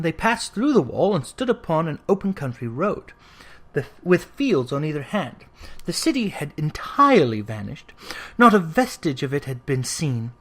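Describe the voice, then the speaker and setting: A man with a slightly high voice reads classic fiction as a narrator. His delivery is measured and expressive, in a literary storytelling style. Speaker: audiobook narrator.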